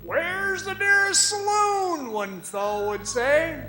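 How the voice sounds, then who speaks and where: A bright, high-pitched, haunted mine guide acted by a man spins his tale in a radio play. He talks slowly and haltingly, in folksy everyday phrases with ominous pauses. The sound is roomy, like a stage, with faint music behind him. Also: heavily acted. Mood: folksy, wry. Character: weary, haunted former copper mine guide telling his story. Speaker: radio drama actor. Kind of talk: radio drama monologue, folksy storytelling. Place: performing arts center stage.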